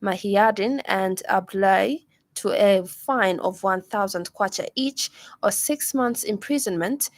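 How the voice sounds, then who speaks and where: A woman's voice: medium pitch, measured pace, dry recording. Zambian woman reading news, dry studio sound. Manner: formal. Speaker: radio news presenter. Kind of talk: scripted news bulletin reading. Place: radio studio.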